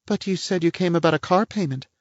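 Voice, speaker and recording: A man's voice: fast talker, no echo, close to an everyday voice. A man voicing a haughty, theatrical middle-aged woman, huffy and affected in manner. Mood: cold. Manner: shrill, huffy, affected gentility. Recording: dull lo-fi, dry.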